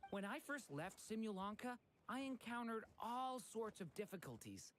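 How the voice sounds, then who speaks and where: A woman's voice: fairly high pitch, expressive, moderate pace, faint music behind, natural voice. A woman voicing an earnest, hopeful young dragon boy in a video game. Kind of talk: video game story voice acting.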